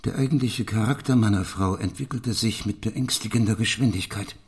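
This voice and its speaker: An actor in a German radio play playing a bitter, brooding middle-aged English gentleman who confesses his past in an intense, deliberate way. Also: lowish voice, close to an everyday voice. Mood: bitter, agitated. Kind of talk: German radio play acting, dramatic monologue.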